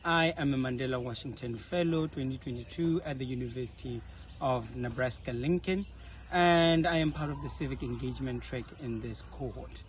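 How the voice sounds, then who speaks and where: A man's voice: mid-range voice, normal speed. Earnest man, articulate. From South Africa. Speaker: interviewee, leadership fellow. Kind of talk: reflective informal interview answers. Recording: faint background noise, ambient.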